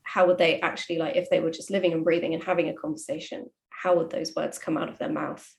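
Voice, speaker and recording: A woman talking reflectively in a casual panel chat, thoughtful, with measured phrases and pauses. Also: sits low, flat delivery, gravelly. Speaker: writer on a panel.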